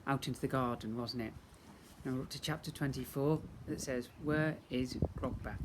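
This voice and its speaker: A man's voice: mid-range voice, a little fast. Man reading a children's story, noisy recording. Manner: expressive, dramatic storytelling. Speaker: teacher reading to pupils. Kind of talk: children's storybook read-aloud.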